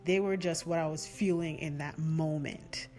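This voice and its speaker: Earnest low-voiced woman podcast host. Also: steady tone, moderate pace, dark tone, faint music behind. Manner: earnest, heartfelt, affirming. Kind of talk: solo reflective podcast talk.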